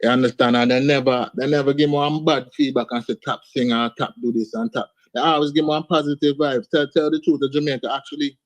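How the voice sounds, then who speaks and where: A man's voice: mid-range voice, fairly even intonation, quick pace, few pauses, dry recording. A man with an Antiguan accent, talking casually as a guest artist in an interview, often ending lines with "man" and "you understand". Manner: laid-back, sprinkles speech with "man" and "you understand". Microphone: video call.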